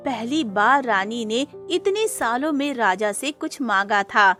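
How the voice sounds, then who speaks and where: A woman's voice: a little high, expressive, unhurried, bright, forceful tone. A woman narrating a scripted cartoon moral story. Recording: music under the voice.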